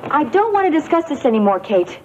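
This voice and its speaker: Animated, defensive woman. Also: high-pitched, fairly quick, slight background noise. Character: defensive, evasive woman in soap opera. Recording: muffled 1980s TV tape, loud music.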